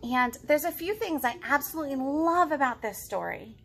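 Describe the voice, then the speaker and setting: A woman in her thirties reads aloud for children with lively, swooping intonation and a bright, slightly high voice. She breaks off to put questions to the young listeners, and there is faint room noise behind her. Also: normal speed. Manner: expressive, addressing young children directly. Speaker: children's librarian, storytime host. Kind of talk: read-aloud storytime with chatty questions to children. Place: living room at home.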